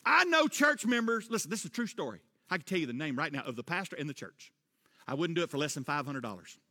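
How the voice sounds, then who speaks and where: A middle-aged man preaching a sermon, rising into loud emphatic refrains and dropping back to a quieter tone. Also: on the high side, sing-song, fast. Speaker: pastor. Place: church sanctuary. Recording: long church reverb.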